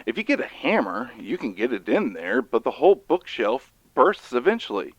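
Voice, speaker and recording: A man's voice: medium pitch, big pitch swings, moderate pace, no echo, muffled recording. An actor playing an earnest male professor who explains urgently and emphatically in an audio drama. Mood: grave warning. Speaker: professor, researcher. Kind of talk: dramatized audio fiction monologue.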